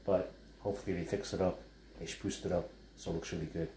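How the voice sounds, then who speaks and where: A man's voice: low voice, steady tone, fast, creaky, warm tone, a little hiss or hum. A man in his fifties from New York with a New York accent, chatting casually about his cooking and his small business as he stirs a wok at home. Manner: chatty, rambling asides while stirring a wok. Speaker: chef and food blogger. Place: home living room kitchen setup, indoors. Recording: roomy home sound.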